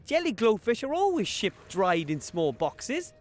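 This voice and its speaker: A timid young gnome from an adventure game, his voice sitting high and swooping all over as he stammers and fumbles along. He sounds hesitant and easily startled. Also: wildly swooping pitch, measured pace, faint music behind, heavily acted. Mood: puzzled. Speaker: game character.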